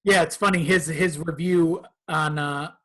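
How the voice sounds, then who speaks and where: A man's voice: a little high, fairly even intonation, measured pace. Easygoing-worded male podcast guest, casual talk. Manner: informal, conversational, swears. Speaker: podcast guest, content creator.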